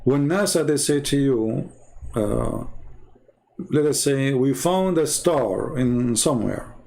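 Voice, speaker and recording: Gravelly man, rambling and scornful. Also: medium pitch, lively intonation, takes it slowly, a little mellow. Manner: rambling, scornful, repeats himself. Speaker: online commentator. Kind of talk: casual monologue.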